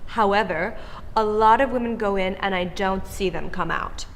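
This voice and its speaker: A woman's voice: mid-range voice, moderate pace, long reverb tail, a little hiss or hum, close to an everyday voice. A woman playing a nosy gossiping church lady. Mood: gossipy. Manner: chatty, gossipy, giggly asides. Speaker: actress. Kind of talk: comedy character reel.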